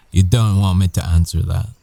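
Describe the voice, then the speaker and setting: A man playing a tender, weary werewolf ex-boyfriend in an intimate ASMR roleplay, with hushed, halting delivery. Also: sits low, creaky, no echo, full character voice.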